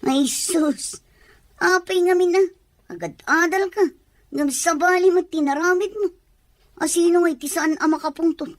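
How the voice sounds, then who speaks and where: A woman's voice: extremely high pitch, moderate pace, no echo. A woman playing a nosy, blunt, teasing relative in an audio drama.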